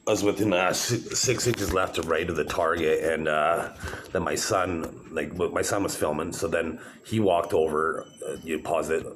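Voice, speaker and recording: A man's voice: low voice, normal speed, a little hiss or hum. A middle-aged man rambling to the camera in a casual vlog, meandering with many fillers and restarts. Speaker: vlogger showing his own videos.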